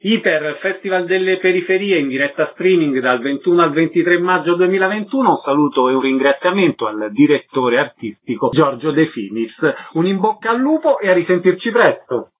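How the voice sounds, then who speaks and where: A man's voice: on the high side, animated, a little fast, hardly any pauses, phone-quality sound. A man hosting a radio show, asking brief, friendly questions and introducing guests. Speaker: radio host. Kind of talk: radio interview.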